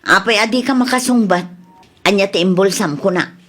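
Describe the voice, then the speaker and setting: A woman's voice: medium pitch, moderate pace, fairly bright tone, close to an everyday voice. A woman acting a suspicious, firm shop owner who probes and questions, in an audio comedy drama. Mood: stern. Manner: probing, firm.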